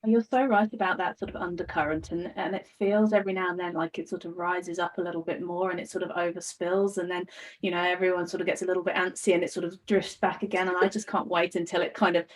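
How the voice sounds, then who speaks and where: An English woman with a fairly low voice chats as a podcast guest. She sounds earnest and eager and often restarts her sentences with ums. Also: flat delivery, fast talker, low-quality recording. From United Kingdom. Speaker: life coach, podcast guest. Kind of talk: casual podcast conversation.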